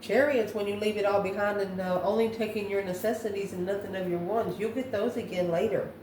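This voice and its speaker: A woman giving an intuitive tarot reading to viewers, musing aloud in short, halting phrases. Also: mid-range voice, fairly even intonation, a little mellow. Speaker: tarot reader, host. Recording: roomy echo, distant mic, background noise.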